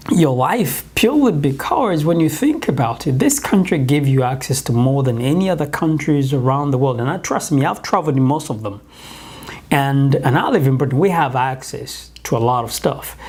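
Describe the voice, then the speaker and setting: A man's voice: medium pitch, darkish tone, slightly rough. A man in his thirties with a Ugandan accent, giving an earnest, urging motivational talk to camera on a lapel mic in a small office kitchen. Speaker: business coach.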